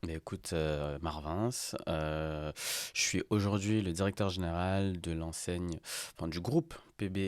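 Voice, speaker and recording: A man's voice: deep voice, a little slow, no room sound. A man in his thirties from Paris with a Parisian accent, a guest in a casual podcast interview who laughs easily and uses lots of fillers. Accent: Parisian French. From France. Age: 30s. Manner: relaxed, laughs often, many fillers. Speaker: guest, restaurant group director.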